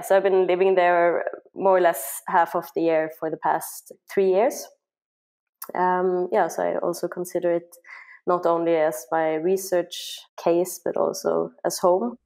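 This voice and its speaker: A woman's voice: lowish voice, creaky, a little mellow, poor audio. A woman from Norway, a social anthropologist, answering interview questions in a thoughtful way with fillers and restarts.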